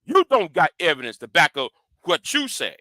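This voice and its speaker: Guy ranting on his channel, high edgy voice, cussing and daring people, keeps stumbling over repeated words. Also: wildly swooping pitch, normal speed, pushed and bright, no echo. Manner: combative, profane, taunting. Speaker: channel host. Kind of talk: confrontational livestream rant.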